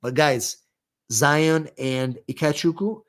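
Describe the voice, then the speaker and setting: A man's voice: fairly high pitch, takes it slowly. Israeli-accented man hosting a live Q&A. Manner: conversational, many fillers and restarts. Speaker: immigration attorney, live stream host. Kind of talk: live Q&A stream.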